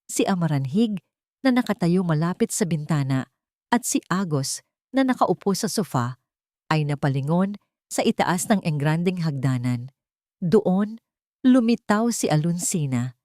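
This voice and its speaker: Low-voiced woman narrating a story. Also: warm tone, dry recording, clean studio sound. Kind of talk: scripted dramatic narration of a love story.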